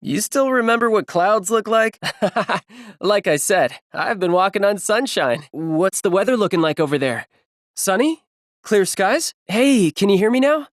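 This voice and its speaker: A woman's voice: medium pitch, animated, measured pace, dry recording, clean studio sound, natural voice. An actress playing an upbeat, chatty female holidaymaker in casual video game dialogue. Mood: breezy, amused.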